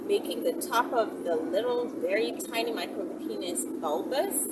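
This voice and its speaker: Loud vehicle-like noise surrounds a woman speaking at some distance from the microphone. Her voice sits fairly high. She talks casually as a video host, thinking out loud and often checking in with the listener. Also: lively intonation, moderate pace. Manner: chatty, thinks aloud, often checks in with "right" and "you know". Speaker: online video host answering viewer questions. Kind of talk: casual, improvised question-and-answer talk to camera.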